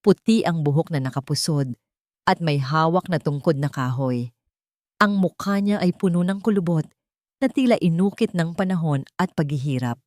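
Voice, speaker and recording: A woman's voice: low-pitched, animated, moderate pace, a little mellow, no echo, clean studio sound. A woman narrating a scripted love drama in a dramatic storytelling style.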